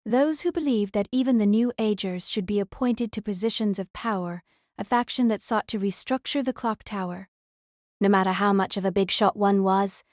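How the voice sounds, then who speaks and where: A woman's voice: mid-range voice, no echo, clean studio sound. A woman reading scripted third-person novel narration as an audiobook narrator.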